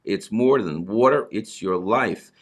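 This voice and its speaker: A man with a fairly low voice hosts an informal talk broadcast. He moves through announcements and questions in deliberate, halting phrases, pausing as he goes. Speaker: broadcast host. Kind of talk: informal hosting, sponsor plugs and interviewing.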